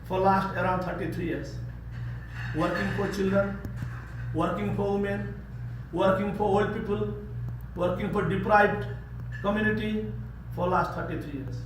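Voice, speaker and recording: A man's voice: sits high, fairly even intonation, moderate pace. A man from Nepal with a Nepali accent, giving an earnest speech to a service club and repeating words for emphasis. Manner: earnest, repeats words for emphasis, leads a call-and-response chant. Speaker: guest speaker. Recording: echoey room, speaker far from the mic, noisy.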